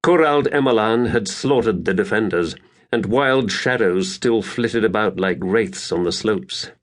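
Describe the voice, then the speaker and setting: A man narrating an epic fantasy audiobook in a measured, theatrical, scripted style. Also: on the low side.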